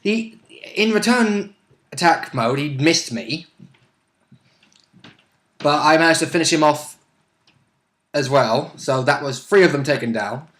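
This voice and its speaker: A man's voice: fairly high pitch, expressive, unhurried, long pauses, a bit bright. A man chatting casually as a tabletop player, recounting his character's exploits in a jokey, chuckling way. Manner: jokey, often chuckling while talking. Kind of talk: casual chat.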